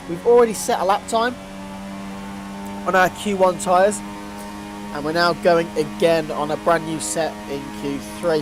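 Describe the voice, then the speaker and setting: A young man with a blocked-up nose, hosting a gaming channel and giving eager racing game commentary. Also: on the high side. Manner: eager, rising to excitement on fast laps.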